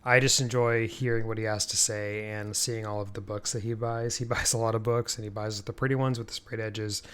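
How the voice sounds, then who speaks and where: A man co-hosting a podcast in casual chat, talking in an easygoing, measured way. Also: lowish voice, little pitch movement, a bit bright, smooth. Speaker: podcast co-host.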